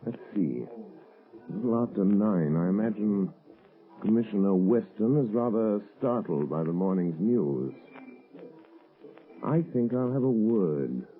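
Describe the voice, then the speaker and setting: A man playing a composed, thoughtful gentleman in a 1930s radio drama, calm and measured, on a tinny old recording. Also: on the low side.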